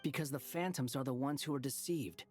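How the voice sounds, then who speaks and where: A man voicing an aloof, cynical young wanderer in a video game, explaining in a measured, disdainful way. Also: mid-range voice, soft background music, lightly acted. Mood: grave, disdainful.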